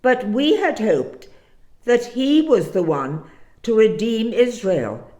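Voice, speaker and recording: An earnest, distressed disciple, voiced by a woman reader tells of dashed hopes in an emphatic, halting way. The voice rises high with animated swings in a roomy, echoing space, as in a dramatic scripture reading. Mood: urgent, grieving.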